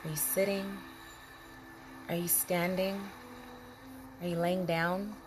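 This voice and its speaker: A woman leading a guided body scan meditation in a calm, soothing manner, speaking in short, unhurried phrases with pauses. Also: fairly low pitch. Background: music behind the voice.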